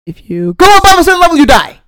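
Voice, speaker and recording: Giggly, excitable gaming podcast co-host, casual delivery with repeated phrases, dry recording. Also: very high voice, fast talker, no room sound.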